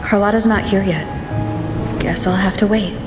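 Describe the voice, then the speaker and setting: A woman's voice: steady tone, moderate pace, over music. An actress playing a cautious young woman adventurer in a video game, thinking aloud reflectively with reverb on her voice. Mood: pensive. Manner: reflective, low-key inner monologue. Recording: echoing reverb with long tail.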